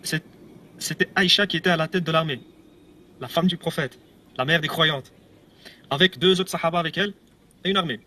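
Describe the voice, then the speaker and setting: A man's voice: fairly high pitch, fairly quick, long pauses, sharp tone. A man telling his own story on video, rambling and hesitant, with repeats and nervous laughs. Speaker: ex-believer giving a testimony video. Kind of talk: casual video testimony.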